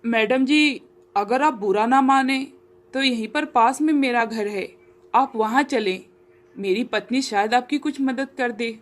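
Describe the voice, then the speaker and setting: Cartoon rickshaw man, played by a woman with lowered pitch: poor, polite, deferential, eager to help. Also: a little slow, lightly acted. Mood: concerned. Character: polite, helpful poor male rickshaw driver.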